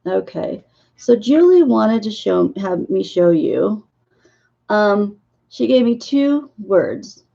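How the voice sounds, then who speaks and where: A woman in her fifties, hoarse and losing her voice, talking haltingly about her dream visions as a psychic in a casual monologue to camera. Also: medium pitch, very animated, dark tone, lo-fi sound. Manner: halting, with many filler pauses.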